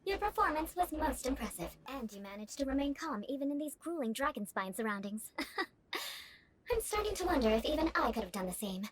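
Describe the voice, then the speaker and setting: Poised, high-pitched aristocratic lady knight. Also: sing-song, fairly quick. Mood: approving. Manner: formal, composed, haughty instruction. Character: poised aristocratic young woman knight, strict, teasingly vengeful. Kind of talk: video game voice acting.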